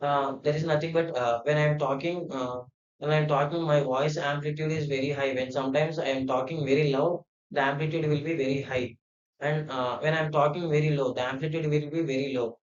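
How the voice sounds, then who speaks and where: Guy explaining stuff, lots of uhs and restarts, kinda high voice, echoey room. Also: steady tone, brisk. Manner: hesitant, many fillers and restarts. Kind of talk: unscripted explanatory talk. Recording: roomy, dull lo-fi sound.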